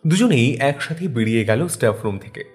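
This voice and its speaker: A male narrator reads a romantic story with dramatic feeling. His voice sits at a middle pitch and sounds wistful, with soft instrumental music behind him. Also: lively intonation, a little fast.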